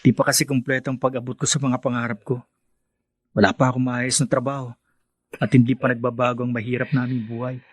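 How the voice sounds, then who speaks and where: An actor playing a polite, earnest young graduate from a poor family in a radio drama, speaking humbly and respectfully. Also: male voice, medium pitch, little pitch movement, quick pace, dry recording, natural voice. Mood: wistful. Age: young adult.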